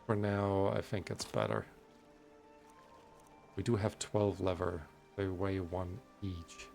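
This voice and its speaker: A man giving casual let's play commentary, musing aloud in unhurried asides as he hosts a gaming video. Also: sits low, creaky, a little mellow, faint music behind.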